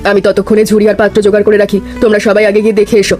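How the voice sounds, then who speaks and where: A woman's voice: mid-range voice, completely flat delivery, rapid-fire, darkish tone, soft background music, close to an everyday voice. A woman voicing a kindly older village mother in a cartoon, speaking affectionately and giving practical instructions. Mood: caring, practical. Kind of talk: scripted cartoon dialogue.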